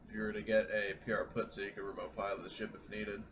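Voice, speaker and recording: A man's voice: fairly low pitch, monotone, fast talker, noisy background. A man chatting casually and profanely as a player in a tabletop game. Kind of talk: casual chat.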